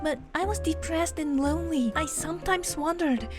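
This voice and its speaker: A woman's voice: high voice, moderate pace, close to an everyday voice. A woman playing an earnest teenage single mother, narrating her own story in a dubbed manga drama. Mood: troubled. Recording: music behind the voice. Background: music.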